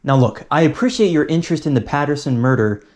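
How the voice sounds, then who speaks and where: A man's voice: fairly high pitch, lively intonation, fast talker, darkish tone, natural voice. An actor playing a sarcastic, exasperated male investigator from a secret department in an audio drama. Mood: sarcastic, dismissive. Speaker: field investigator.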